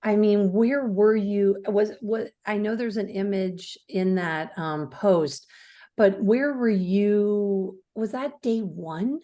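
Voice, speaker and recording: Slow, mellow-voiced woman co-host. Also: mid-range voice. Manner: interjecting, short probing questions. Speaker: podcast co-host. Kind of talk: casual interview chat.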